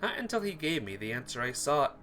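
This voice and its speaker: An expressive man narrates a visual novel for a playthrough. His slightly high voice is bright and very lively in pitch, with a theatrical read-aloud delivery. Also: a little fast, a little hiss or hum. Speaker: playthrough host. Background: music.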